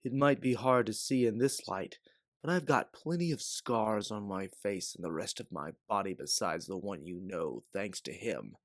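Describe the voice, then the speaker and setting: A man voicing an aged, scarred mercenary, calm, weary and measured as he justifies himself. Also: on the low side, dry recording, clean studio sound, natural voice. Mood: bitter reproach. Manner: measured, explaining calmly.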